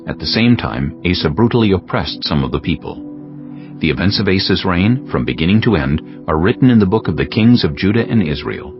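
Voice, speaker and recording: Deep-voiced, expressive male Bible narrator. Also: brisk. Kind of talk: scripted dramatized Bible audiobook narration. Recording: dull sound with music bed.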